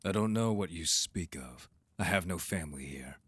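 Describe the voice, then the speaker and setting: A man plays a cold envoy from a vampire council in an audio roleplay. His voice is very deep and husky, recorded bone dry. He is formal, curt and openly disdainful. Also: animated, moderate pace, no echo. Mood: bitter, scornful. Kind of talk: audio roleplay drama acting.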